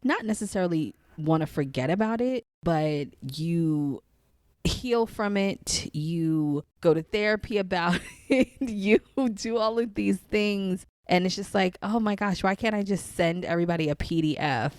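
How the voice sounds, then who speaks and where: Low-pitched American woman, chatty podcast guest. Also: a little slow, darkish tone, no echo, studio quality. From Queens, New York City, USA. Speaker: podcast guest, spiritual guide. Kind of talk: casual chat.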